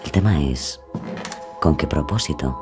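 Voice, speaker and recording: Brief: slow, hushed male narrator with a very deep voice. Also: expressive. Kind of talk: scripted true-crime narration. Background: music.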